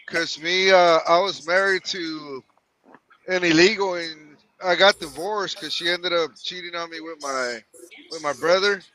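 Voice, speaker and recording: A male caller with a high, bright voice who talks in halting bursts full of "uh"s and restarts. He is raised in the United States and also speaks Spanish. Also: slight background noise, rough recording. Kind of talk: casual call-in chat.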